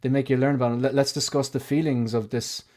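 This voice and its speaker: A man's voice: medium pitch, steady tone, fast, a little mellow. An Irish man co-hosting a casual chat, rambling and swearing now and then. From Ireland. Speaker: co-host.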